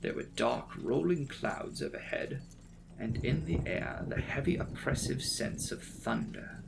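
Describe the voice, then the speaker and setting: A man's voice: medium pitch, unhurried, vocal fry, noisy background. A man narrating a classic novel as a dramatic, scripted audiobook reading.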